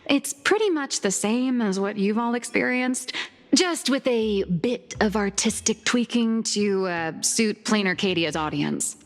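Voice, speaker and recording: A woman's voice: medium pitch, expressive, a little slow. A woman playing a theatrical young comic artist in an anime game, lecturing like a self-assured, mock-scholarly teacher. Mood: storytelling. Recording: long reverb, music behind.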